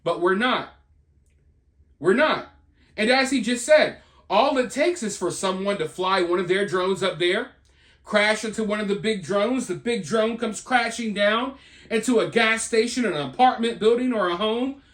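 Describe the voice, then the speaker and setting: News commentary host, medium pitch, talks casual but emphatic, echoey room sound. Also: unhurried, fairly bright tone. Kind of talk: reading a news report with off-the-cuff opinion commentary.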